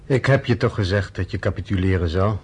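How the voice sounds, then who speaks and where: An actor playing a sardonic, probing journalist in a radio drama, with a teasing manner. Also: male voice, deep voice, brisk, a little mellow, husky.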